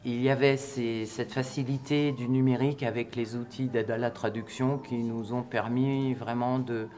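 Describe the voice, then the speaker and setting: A man giving a reflective interview, speaking hesitantly and deliberately as a teacher-trainer. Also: medium pitch, level tone, darkish tone, slight background noise.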